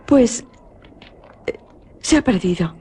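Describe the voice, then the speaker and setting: Brief: a mocking young noblewoman from Spain, lively pitch, radio drama. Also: slow pace, slightly rough, full character voice. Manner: playful, mocking. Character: young noblewoman, teasing and coolly ironic.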